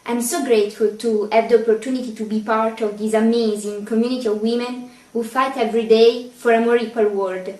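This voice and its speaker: Young woman, slightly high, echoey. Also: flat delivery, a little fast, few pauses, a little mellow. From Italy. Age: 20s. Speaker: trainee lawyer. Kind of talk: recorded self-introduction. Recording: echoey room, distant mic.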